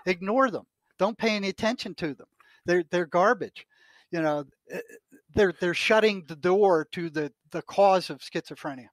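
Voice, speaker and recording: A man's voice: sits high, normal speed, no room sound. An older man speaking bluntly and emphatically as an opinionated podcast guest. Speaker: retired psychotherapist, podcast guest. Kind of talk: opinionated interview talk.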